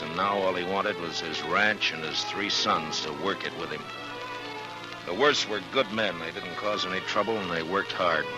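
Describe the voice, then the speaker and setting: A low-pitched, steady lawman of the Old West played by a male actor, plainspoken and a little weary but firm. It sounds like a classic radio western, with music present. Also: natural voice, poor audio. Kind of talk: 1950s radio western acting and narration.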